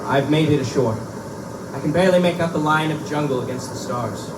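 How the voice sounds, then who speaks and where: A man's voice: fairly high pitch, brisk, close to an everyday voice. A man playing a confident, scoffing big game hunter in a theatrical live reading of a pulp adventure drama. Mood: determined, searching. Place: live event in a bookstore. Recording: echoey live room, distant mic, loud hum.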